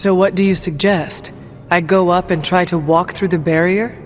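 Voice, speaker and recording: A man plays a brooding, skeptical video game hero with a high voice. The delivery is dry, formal and questioning. It rings with long reverb and sounds narrow, like a phone. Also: fairly even intonation, moderate pace, faint music behind, lightly acted. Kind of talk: scripted video game dialogue.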